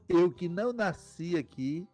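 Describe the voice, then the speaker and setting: A man's voice: sits high, lively intonation, measured pace, darkish tone, faint music behind. Chatty older man hosting a podcast. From Paraíba, Brazil. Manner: chatty, rambling with restarts. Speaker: podcast host. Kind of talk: casual interview chat.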